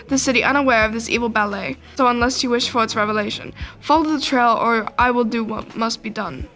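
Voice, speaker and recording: Young woman playing plucky determined girl reporter, high voice, earnest radio play delivery, music behind. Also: fairly bright tone, natural voice. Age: young adult. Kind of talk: amateur radio drama acting.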